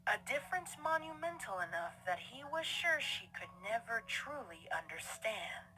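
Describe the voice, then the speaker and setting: A woman narrating a scripted fan-fiction story in a theatrical, deliberate storytelling manner. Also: a little high, sing-song, pushed and bright, soft background music, low-quality recording.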